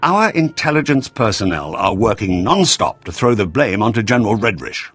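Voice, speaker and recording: A bitter, husky disgraced ambassador. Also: male voice, lowish voice, very animated, normal speed, fairly bright tone, no room sound, soft background music, exaggerated voice. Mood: indignant, resigned. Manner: formal, aggrieved, resentful. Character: bitter, disgraced senior male ambassador, weary and indignant. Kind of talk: dramatic video game voice acting.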